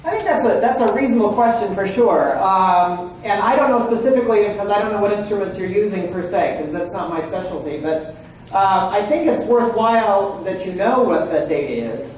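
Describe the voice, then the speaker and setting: A man's voice: sits high, expressive, brisk, darkish tone, far from the mic. A man in his forties gives a conversational lecture to a seminar audience. He keeps checking in with his listeners. His voice comes from well away from the mic in a very echoey room with a faint hiss of background noise. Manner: frequent "right?" and "okay?" check-ins with listeners. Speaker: presenter at a legal training seminar.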